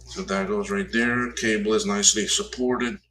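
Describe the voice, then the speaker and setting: A man's voice: lowish voice, fairly even intonation, normal speed, background noise. A man in his fifties chatting casually on a livestream while repairing old computers, rambling and correcting himself as he works. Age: 50s. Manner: rambling, self-correcting, talks while tinkering.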